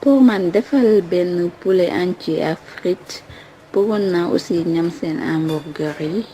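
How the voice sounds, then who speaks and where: A woman's voice: lowish voice, slow pace, mellow, a little hiss or hum, low-quality recording. A woman with a Senegalese accent acting a demanding young girlfriend in a comic cartoon, mixing French into Wolof. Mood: pleased. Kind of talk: comic animated cartoon dialogue.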